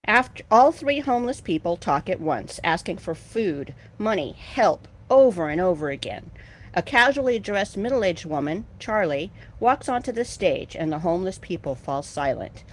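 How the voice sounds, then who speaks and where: Female stage-directions reader, slightly low voice, animated intonation, scripted narration. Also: normal speed. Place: video call.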